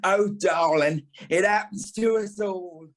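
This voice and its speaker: A man's voice: sits high, slow. A man playing a flamboyant, blunt former ballet teacher with a British accent in an audio play, theatrical and tactless. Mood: wry, teasing. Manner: theatrical, tactless, drawling, calls people darling and lovey.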